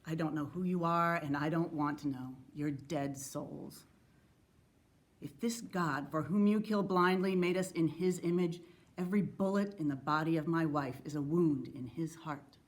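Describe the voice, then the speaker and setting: A woman giving a solemn, earnest and measured dramatic reading of a letter. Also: low-pitched. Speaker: actor reading historical letters.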